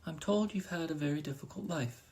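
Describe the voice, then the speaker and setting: A man voicing an alluring, gentle female crow who speaks in a calm, soothing, formal way. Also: fairly high pitch, fairly quick, breathy edge, pitched above the performer's own voice.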